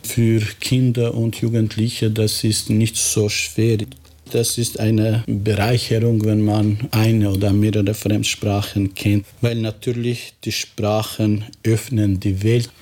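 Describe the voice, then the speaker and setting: Slow, flat-voiced man with an Albanian accent. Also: fairly low pitch. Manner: halting, searching for words, with self-corrections. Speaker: teacher. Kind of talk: interview answers. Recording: faint background noise.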